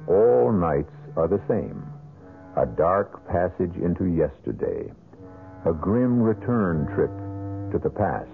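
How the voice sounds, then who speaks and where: A man's voice: deep voice, creaky, slight background noise. A man narrating a 1970s radio mystery as host, in an ominous, theatrical, rhetorical manner. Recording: tinny old radio recording with music.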